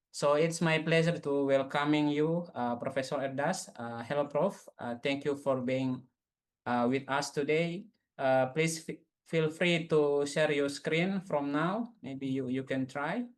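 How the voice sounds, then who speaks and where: Young male moderator, formal halting introduction over a video call. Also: mid-range voice. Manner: careful, halting, frequent fillers. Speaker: seminar moderator.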